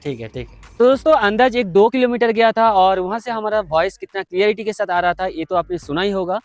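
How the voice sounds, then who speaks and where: A man's voice: high voice, animated, a little fast, no echo, faint music behind. A man hosting a casual field-test vlog, talking to viewers and checking in with a partner. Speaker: video host.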